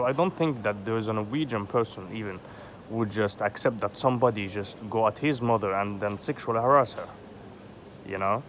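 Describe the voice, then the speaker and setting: Man, 30s, lowish voice, agitated rambling rant, traffic noise outdoors. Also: fairly bright tone. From Egypt. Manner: agitated, rambling, swears often, heavy "you know" fillers. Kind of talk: rambling personal vlog monologue.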